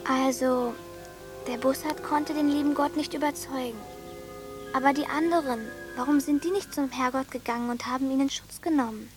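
Woman as a weary little girl, high-voiced. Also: steady tone, moderate pace, natural voice. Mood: earnest. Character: weary, stubborn little village girl, dreamy and earnest. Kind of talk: German radio drama acting. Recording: studio radio drama with music.

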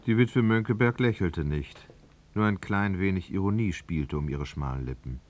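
A man narrating a literary radio drama in a measured, articulate, scripted manner. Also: deep voice, expressive.

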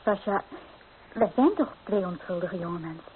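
A woman's voice: on the low side, lively intonation, normal speed, natural voice. An actress playing a young, earnest and wistful romantic woman in an old radio drama. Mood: worried. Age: young adult. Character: young romantic woman longing for freedom, torn. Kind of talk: old radio play acting. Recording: tinny old radio recording, faint noise.

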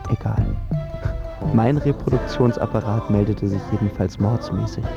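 An actor playing an awkward, lovestruck young man in a radio drama, recalling his first love in halting, intimate, colloquial narration. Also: lowish voice, vocal fry. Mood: awkward, aroused. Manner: halting, reflective, colloquial asides. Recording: muffled sound under loud music.

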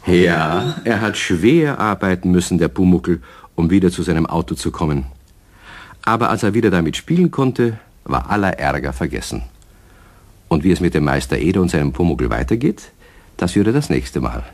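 Ein Schauspieler spricht den Erzähler eines Kinderhörspiels, freundlich und ruhig erzählend. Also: male voice, low-pitched, very animated, normal speed, exaggerated voice. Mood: friendly, storytelling. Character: male storyteller narrator of children's radio play. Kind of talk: scripted narration for children.

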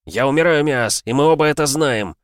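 A man voicing a loyal, defiant young male ship officer in an audiobook, with emphatic, strained shouts. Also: a little high, a little fast, no echo, clean studio sound, voice pushed up, close to an everyday voice. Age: young adult. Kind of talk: dramatic audiobook character voice.

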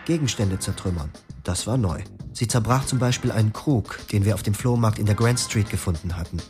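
A man's voice: on the low side, brisk, over music, close to an everyday voice. An actor narrating as a weary young man in a German radio drama. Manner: subdued, reflective. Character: weary young man telling his own story.

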